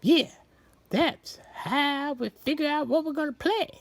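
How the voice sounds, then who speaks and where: A woman telling a bedtime story in a gentle, playful chat, as if talking to a child. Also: sits high, measured pace, no echo.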